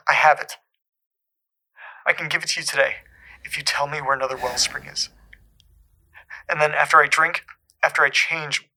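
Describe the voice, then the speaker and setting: A man playing a regretful, pleading caller to a radio show in an audio drama, talking earnestly and haltingly in a tense, creaky voice. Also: fairly high pitch, pushed and bright, heavily acted, poor audio. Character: regretful, pleading male caller desperate for a second chance. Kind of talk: scripted audio drama, naturalistic acting.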